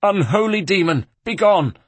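Scared holy abbot, shrill and husky, wailing like demons are after him, storybook style. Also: male voice, expressive, normal speed, dry recording. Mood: horrified, thunderous. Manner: theatrical wailing and pious exclaiming. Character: panicky, pious male abbot, dreading demons.

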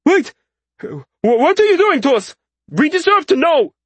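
A man's voice: very high voice, expressive, slow, slightly rough, dry recording, pitched above the performer's own voice, exaggerated voice. A man voicing a frightened young male soldier who protests in a panicky way, in an audiobook. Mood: panicked. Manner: shouting, panicky. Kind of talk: audiobook character dialogue.

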